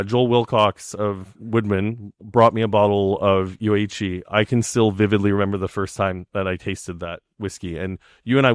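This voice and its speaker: A man's voice: on the low side, clear, resonant tone, no echo, studio quality. A man in his forties co-hosting a podcast, telling stories in a chatty way with frequent ums. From Canada. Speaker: podcast co-host. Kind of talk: casual chat.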